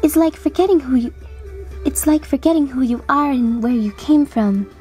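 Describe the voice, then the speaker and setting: Woman doing a cartoon skeleton teen, earnest, high and mellow. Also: moderate pace, soft background music, close to an everyday voice. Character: earnest, family-loving teenage skeleton girl from a cartoon.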